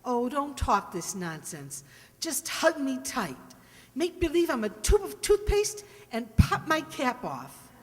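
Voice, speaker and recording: An actress playing a chirpy, coaxing young wife in an old-time radio comedy. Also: female voice, high-pitched, big pitch swings, slow, full character voice. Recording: echoey hall, long reverb.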